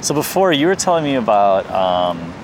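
A man's voice: lowish voice, very animated, normal speed. A man in casual conversation, asking questions in a laid-back, curious way. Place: outdoors in a city. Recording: noisy city street with traffic.

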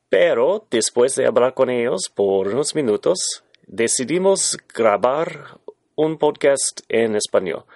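A man hosting a travel podcast, speaking casual second-language Spanish with many pauses and searches for words. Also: mid-range voice, dry recording, clean studio sound. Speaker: podcast host. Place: living in China.

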